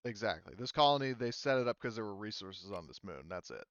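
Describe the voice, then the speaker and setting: A man narrating a tabletop roleplaying game as game master, explaining things in a halting way. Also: fairly low pitch, big pitch swings, dry recording, lo-fi sound.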